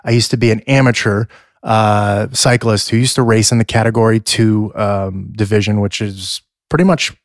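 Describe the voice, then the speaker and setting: A man's voice: on the low side, steady tone, normal speed, no echo, studio quality. A middle-aged man with a scratchy voice, co-hosting a podcast in casual chat and rambling through fillers and restarts. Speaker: podcast co-host.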